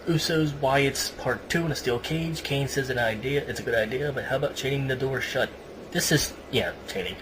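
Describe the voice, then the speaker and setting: A man's voice: medium pitch, moderate pace, noisy background. A man hosting a podcast, giving a casual recap of a show with banter. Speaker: podcast co-host.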